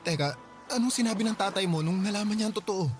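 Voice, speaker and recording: A man's voice: high-pitched, quick pace, close to an everyday voice. A man voicing a nervous, stammering young man who has just learned he is going to be a father, in a radio drama over music. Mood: flustered. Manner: stammering, anxious. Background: music bed.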